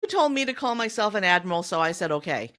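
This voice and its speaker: Female podcast co-host for a casual book chat, expressive with very varied pitch. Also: fast talker.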